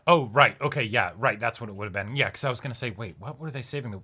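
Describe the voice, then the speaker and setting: A man's voice: medium pitch, fast, pushed and bright, breathy edge. A man co-hosting a reaction show, chatting casually like a fan, eager and agreeable. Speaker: co-host of an anime reaction show. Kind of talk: casual chat, fan discussion.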